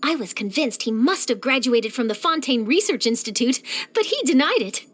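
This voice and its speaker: A woman voicing an eager, nosy young female journalist in a video game, chatty, theatrical and expressive. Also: high-pitched, moderate pace. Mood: impressed, chatty. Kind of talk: animated game character voice lines.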